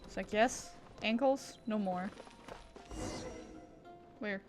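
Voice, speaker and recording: A woman's voice: mid-range voice, slow. A woman streaming a video game with casual, playful, rambling commentary and muttered asides. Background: game music.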